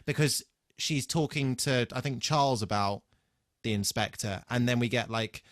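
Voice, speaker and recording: Casual male podcaster: middle-pitched, crisp bright edge, halting off-the-cuff delivery, swears now and then. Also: dry recording, clean studio sound. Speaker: podcast co-host. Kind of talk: casual chat, TV episode review from notes.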